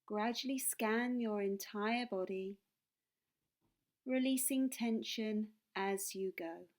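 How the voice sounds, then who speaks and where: A woman leading a guided meditation as a teacher, speaking in a calm, soothing and deliberate way with pauses between phrases. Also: mid-range voice.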